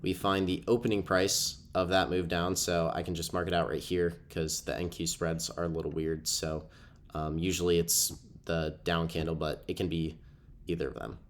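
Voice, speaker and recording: A young man with a deep, low-pitched voice that sounds congested from a recent cold. He walks through a trading setup in a loose, conversational way, filling pauses with ums and "okay". It sounds like a desk-mic voice-over on a screen recording. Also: level tone, a little hiss or hum. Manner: casual, filler-heavy explaining with frequent ums. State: stuffy from a cold. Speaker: trading educator. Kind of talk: screen-share trading walkthrough.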